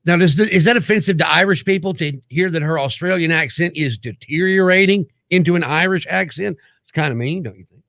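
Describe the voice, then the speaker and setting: A man's voice: on the high side, a little fast, gravelly, a bit bright, no echo. A male morning radio host who chats casually, jokes and laughs often, and reads news stories aloud. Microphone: studio microphone.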